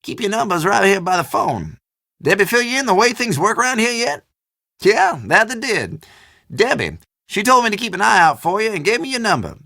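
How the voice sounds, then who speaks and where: An actor playing a folksy old storekeeper in a video game, chatty and teasing, with a drawl. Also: male voice, high voice, sing-song, fairly quick, creaky, bright, forceful tone, no echo, studio quality, exaggerated voice. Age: older. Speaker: small-town general store keeper. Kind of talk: video game character dialogue.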